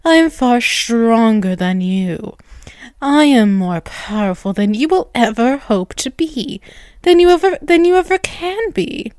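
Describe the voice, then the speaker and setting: A woman's voice: a little high, lively intonation, a little mellow, no echo, studio quality. A woman voicing a dominant, ageless vampiress in an ASMR roleplay, teasing and condescending, drawing out her words. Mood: boastful, commanding. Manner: slow, condescending, teasing, with drawn-out words and chuckles. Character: dominant, taunting ageless vampiress toying with a captive human.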